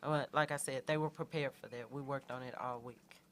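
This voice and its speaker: A middle-aged woman, a head coach answering questions in a halting way, with many fillers and restarts. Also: rumbling low, steady tone, a little hiss or hum. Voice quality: soft voice. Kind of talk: postgame press conference answers.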